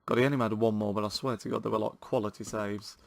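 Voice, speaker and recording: A man with a sore throat, giving excitable, chatty commentary to viewers while playing a football video game. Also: fairly low pitch, fast, creaky, no room sound. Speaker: gaming channel host.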